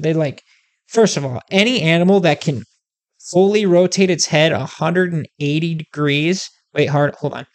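Profane, ranting video host. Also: mid-range voice. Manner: profane, emphatic, animated ranting. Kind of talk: comedic rant to camera.

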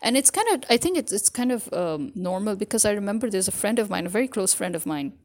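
A woman's voice: medium pitch, expressive, fast, a little mellow. A young woman with a mixed British, American and Pakistani English accent, talking casually and rambling with many fillers as an author vlogging. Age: young adult. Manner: rambling, frequent fillers, self-correcting. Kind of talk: casual spoken monologue.

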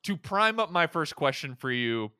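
A man's voice: a little high, big pitch swings, moderate pace, bright, forceful tone, clear, resonant tone, no echo, studio quality. A man hosting a podcast in casual chat about movies and games, rambling and often repeating or interrupting himself. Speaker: podcast host.

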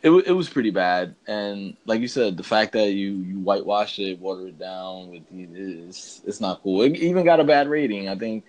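A man's voice: on the low side, normal speed, few pauses, a little mellow. A man co-hosting a podcast, giving a casual film review in a rambling, hesitant, laid-back way. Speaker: podcast co-host.

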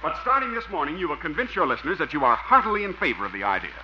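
On a muffled, slightly noisy old radio recording, a smug American station manager holds forth. His delivery is pompous and fussy, with extremely animated pitch, in 1950s sitcom style. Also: racing. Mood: officious, commanding. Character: officious, smug male radio station manager, the owner's nephew.

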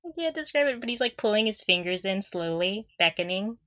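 A woman's voice: medium pitch, expressive, fairly quick, fairly bright tone, dry recording, clean studio sound. A young woman co-hosting a casual fan podcast, talking in a giggly, gushing way. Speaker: podcast co-host.

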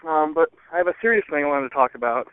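A man calling in to a show over the telephone, rambling casually and hesitantly with many ums and pauses. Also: a little high, expressive, clear, resonant tone, no room sound. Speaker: listener calling in. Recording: narrow, dry phone-line sound.

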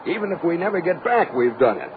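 Through heavy hiss on a tinny vintage radio recording, a sixty-year-old army major aboard a rocket. He is breezy, folksy and optimistic, his mid-pitched voice swinging expressively in classic 1940s radio drama fashion. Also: very animated, quick pace. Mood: upbeat, insistent. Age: 60s. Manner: folksy, informal, eager.

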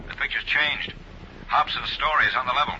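A man's voice: medium pitch, brisk. A man playing a terse, urgent police sergeant speaking over the telephone in a 1950s radio drama. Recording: dull, noisy 1950s radio recording.

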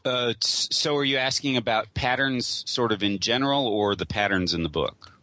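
A man's voice: mid-range voice, moderate pace, pushed and bright, dry recording. A man appearing as a podcast guest, recalling things in a thoughtful way while searching for his words. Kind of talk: casual storytelling on a podcast.

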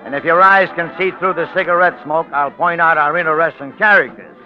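An actor playing a wry American storyteller, narrating a 1940s radio drama in colloquial, confiding patter. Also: male voice, a little high, fairly even intonation, fairly quick, natural voice. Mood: wry, scene-setting. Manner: wry, colloquial, conspiratorial tour-guide patter. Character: wry storyteller guiding listeners through a seedy bar. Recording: muffled old radio recording with music.

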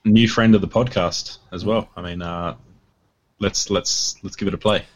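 A man co-hosting a podcast, talking in a laid-back, monotone way over a video call. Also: sits low, moderate pace, no echo. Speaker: podcast co-host. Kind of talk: casual banter.

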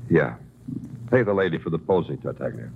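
An actor playing a weary, hard-boiled New York police detective in a radio crime drama, brooding, clipped and sardonic. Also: male voice, very deep voice, expressive, a little fast, gravelly, exaggerated voice. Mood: accusing. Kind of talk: 1950s radio noir acting and narration. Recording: old radio recording, muffled, music underneath.

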